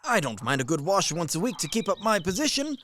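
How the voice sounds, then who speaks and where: An actor playing a blustering, old-fashioned middle-aged army major and husband in a radio play, blunt, pompous and grumbling. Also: male voice, high-pitched, very animated, fast talker, fairly bright tone, a little hiss or hum. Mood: grumbling, opinionated.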